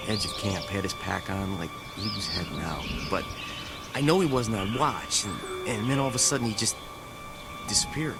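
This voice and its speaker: An actor playing a nervous, hesitant army private with a halting, folksy way of talking, in a radio drama. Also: male voice, on the low side, loud music behind the voice, close to an everyday voice. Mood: uneasy, hesitant.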